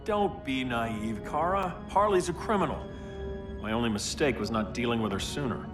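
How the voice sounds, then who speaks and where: A man playing a grim, self-righteous superhero turned ruler in a dramatic video game, speaking gravely and with command. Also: medium pitch, lively intonation, moderate pace, lightly acted. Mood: cold. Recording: echoey, distant, music behind.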